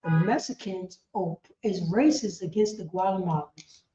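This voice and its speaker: A woman's voice: fairly low pitch, moderate pace, warm tone, soft background music. A woman teaching a religious class in an impassioned, emphatic way, repeating phrases and asking rhetorical questions. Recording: echoey room, dull lo-fi sound.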